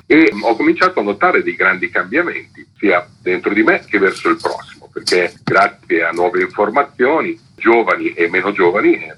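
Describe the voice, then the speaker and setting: Man, author being interviewed, lowish raspy voice, explanatory answers, lo-fi phone sound. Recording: dull, lo-fi radio phone link.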